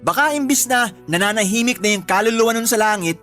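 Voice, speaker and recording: A man's voice: high voice, animated, fast talker, pushed and bright, soft background music. A man playing a sullen, stubborn twenty-year-old son who argues back in a radio drama. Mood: sullen, irritated. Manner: whiny, argumentative.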